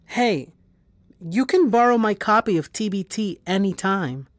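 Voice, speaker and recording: A woman's voice: medium pitch, expressive, a little slow, dry recording, natural voice. Nerdy kid brother, dry close sound woman acting. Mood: knowing, playful. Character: nerdy, knowing comic-fan young boy. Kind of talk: audio drama acting.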